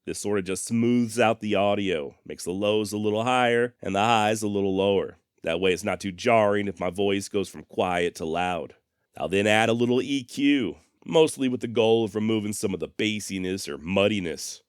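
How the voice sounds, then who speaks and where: Male podcast host, low smooth voice, casual direct address, plainspoken and emphatic, dry close-mic recording. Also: moderate pace, no echo. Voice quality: deep voice. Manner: plainspoken, mildly profane, emphatic. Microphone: close dynamic studio microphone, processed.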